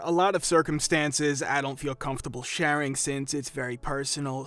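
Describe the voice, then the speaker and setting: A man narrating a reader's story in a lively, expressive way, now and then laughing softly. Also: on the high side, dry recording.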